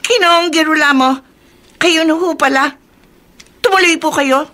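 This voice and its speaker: A woman's voice: sits high, a little slow, bright, forceful tone. A woman playing a polite, dutiful household servant in a radio drama, courteous and theatrical. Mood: obliging. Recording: faint background noise.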